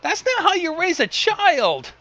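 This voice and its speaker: Co-host: male, lively high pitch, casual blunt commentary, swears freely. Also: a little slow, no room sound, dull audio. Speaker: co-host reacting to a fan fiction reading.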